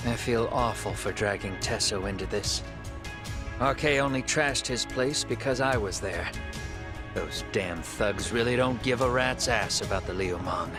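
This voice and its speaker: A man's voice: fairly low pitch, unhurried, music in the background, close to an everyday voice. An actor playing a brash, stubborn man on the run in a video game cutscene, speaking glibly and colloquially. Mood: guarded, bitter. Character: brash male fugitive, casual but stubbornly loyal.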